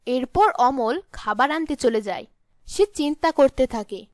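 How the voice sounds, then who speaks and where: A woman's voice: sits high, expressive, a little slow, no echo, studio quality. A woman narrating a scripted fairy tale as the storyteller.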